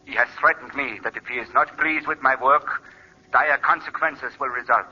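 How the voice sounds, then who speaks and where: Grave, sharp-voiced old-radio scientist. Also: male voice, mid-range voice, slightly rough. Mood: bitter dread. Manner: solemn, measured, portentous. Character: grave, regretful scientist doctor reading his notebook entries. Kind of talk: 1940s radio drama acting. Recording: old radio recording, telephone-like band.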